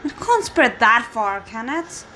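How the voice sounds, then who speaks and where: A woman's voice: on the high side, sing-song, a little slow, bright, forceful tone. A woman hosting a reaction video, commenting casually and thinking aloud in a hesitant way.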